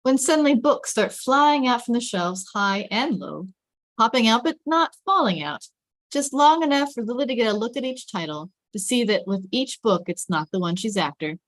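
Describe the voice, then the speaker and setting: A woman's voice: on the high side, animated, moderate pace, dry recording, rough recording. A woman reading stage directions as the narrator of a scripted drama table read.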